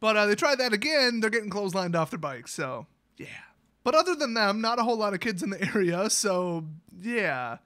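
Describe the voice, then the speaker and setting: A man's voice: sits high, sing-song, a little fast, pushed and bright. An American man telling stories in a casual, ranting style with a sarcastic, exasperated manner. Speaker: YouTube storyteller and gamer.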